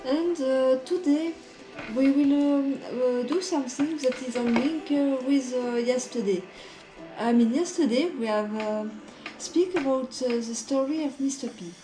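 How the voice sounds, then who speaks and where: A woman with a slightly high voice and a co-hosts an online radio broadcast. She pieces her story together slowly, with frequent fillers and restarts. The room is echoey and music plays behind her. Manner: halting, many ums and restarts. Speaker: radio show co-host. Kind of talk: casual spoken recollection.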